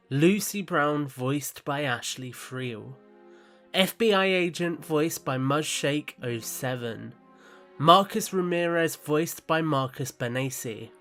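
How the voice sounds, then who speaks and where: A man's voice: mid-range voice, fairly bright tone. A man narrating an audio drama in a scripted storytelling style. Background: musical score.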